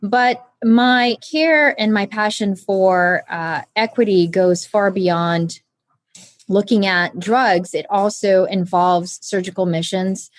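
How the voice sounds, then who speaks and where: A woman doctor as an interview guest, speaking in a calm, measured, earnest way. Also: mid-range voice, no echo. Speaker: guest, oncologist surgeon. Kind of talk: conversational interview.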